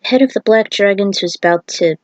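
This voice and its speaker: A woman's voice: mid-range voice, fairly quick, no echo, lo-fi sound. A woman reading her own fan fiction aloud, breaking into chatty, casual asides about it. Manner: chatty, self-commenting asides.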